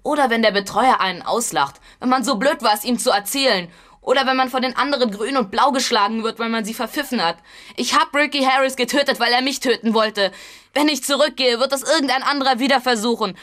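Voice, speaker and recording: A woman's voice: fairly high pitch, fast, a bit bright, natural voice. A woman playing a frightened but defiant runaway boy in a German radio drama, earnest and hesitant. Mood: defiant, anguished.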